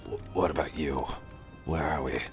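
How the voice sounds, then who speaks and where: Guy acting as a tired hurt warrior, super deep gravelly murmur, terse, audio drama w music behind. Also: normal speed, in a large hall. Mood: weary, confused. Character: wounded gruff male monster hunter, weary and terse.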